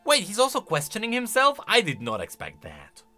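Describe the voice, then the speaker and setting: A man delivers casual commentary on game lore in a bright, slightly high voice. His pitch swings in a lively way as he reasons aloud and stresses his points. Soft music plays behind him. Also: normal speed. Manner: expressive, emphatic, thinking aloud.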